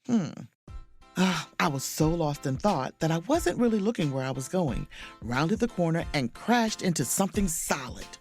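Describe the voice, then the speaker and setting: A woman playing a confident, sharp-witted, proud and flirtatious sleuth in an audio drama, with an emphatic, theatrical delivery. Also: low-pitched, sing-song, normal speed, faint music behind. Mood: musing. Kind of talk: audio drama acting with first-person narration.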